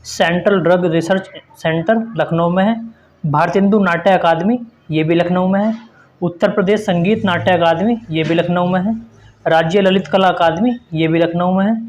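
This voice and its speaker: A man with a notably high-pitched voice teaches an exam-prep lesson. He reads out quiz questions and answers, then explains them in an instructive manner, repeating points for emphasis. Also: steady tone, moderate pace, slight background noise.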